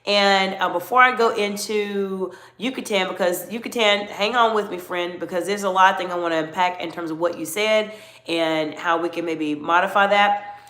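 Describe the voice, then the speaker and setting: An animated middle-aged American woman talks to viewers of a livestream. She coaches leaders and greets her chat by name. Her voice sits mid-range and echoes in the room around her. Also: medium pitch, normal speed. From United States. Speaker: executive coach.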